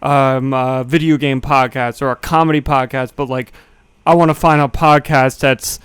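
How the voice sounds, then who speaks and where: A man co-hosting a talk show, chatting casually and haltingly as he thinks aloud. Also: medium pitch, steady tone, fairly bright tone, clean tone, no echo. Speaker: co-host. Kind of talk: casual chat.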